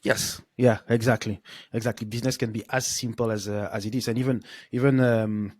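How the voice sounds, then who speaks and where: Lower-voiced hesitant male podcast host. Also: fairly even intonation, fairly quick, darkish tone, dry recording. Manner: hesitant, many fillers and restarts. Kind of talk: casual interview.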